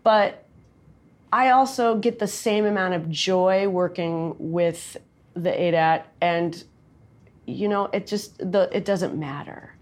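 A woman with a nasal, loud voice speaks in a casual, candid interview. Her talk is chatty and quick, full of asides. She sits a bit low in pitch for a woman. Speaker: songwriter and recording artist guest.

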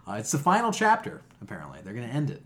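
Man, podcast host, highly animated delivery, blunt, profane, emphatic, casual opinionated chat. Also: rapid-fire.

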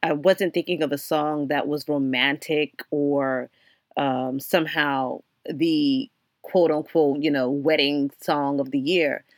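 A young adult woman hosting her own podcast, talking alone in an earnest, reflective way with frequent ums and restarts, her voice slightly hoarse. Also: sits low, fairly even intonation, takes it slowly, no room sound, studio quality. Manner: many ums and restarts, faith-centered, earnest. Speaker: podcast host. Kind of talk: reflective solo podcast monologue.

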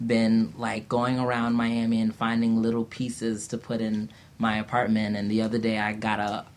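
A man's voice: on the low side, completely flat delivery, moderate pace. A young adult man chatting informally as a guest, with "like" sprinkled through his sentences. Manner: informal, says "like" often. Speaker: show guest. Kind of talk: casual chat.

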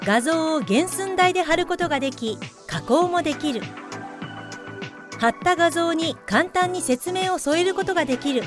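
A woman reading scripted explanatory narration in a polite, measured, instructive way. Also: sits high.

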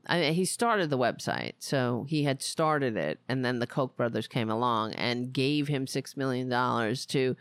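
Wry host with very lively, swooping pitch. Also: no echo. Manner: wry, self-mocking, exasperated. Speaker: host of a political talk show. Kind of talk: casual rambling political commentary.

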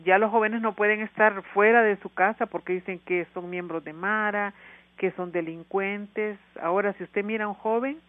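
A woman's voice: medium pitch, moderate pace, no room sound. A woman with a Honduran accent, speaking earnestly as an interview guest over the phone, with frequent hesitations. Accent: Honduran Spanish. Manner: earnest, steady, many hesitations. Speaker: NGO worker, interview guest. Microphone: telephone. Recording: tinny dry phone line.

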